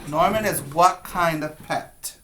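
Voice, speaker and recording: A man's voice: on the high side, slow pace, pushed and bright. A man teaching preschoolers, speaking in an instructive, question-and-answer way as he reads aloud and guides young children.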